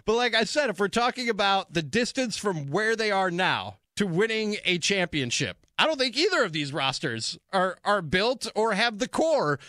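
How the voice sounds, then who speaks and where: Bright, high-pitched American sports radio host. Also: male voice, very animated, moderate pace, hardly any pauses, no room sound, studio quality. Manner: emphatic, conversational, repeats phrases for emphasis. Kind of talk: sports talk radio monologue.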